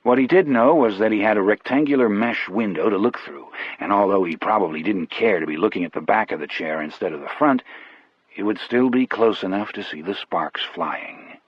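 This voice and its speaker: A male audiobook narrator with a low, husky voice tells a first-person story. The delivery is measured and wry. The sound is very dry and a little muffled. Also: no room sound.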